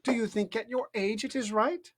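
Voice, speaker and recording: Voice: medium pitch, fairly even intonation, voice pushed up, natural voice. A parent reading aloud, voicing a polite, anxious young girl in a raised voice that stumbles over words. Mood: reciting. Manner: raised, hurried, slightly stammering.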